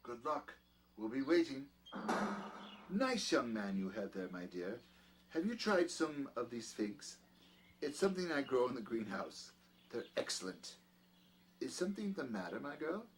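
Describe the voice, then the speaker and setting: An actor playing a middle-aged, scheming doctor in an audio drama, courteous and old-fashioned but quick to command. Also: male voice, medium pitch, very animated, a little hiss or hum, rough recording. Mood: solicitous, smooth. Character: peculiar, scheming doctor, outwardly courteous, domineering to his servant.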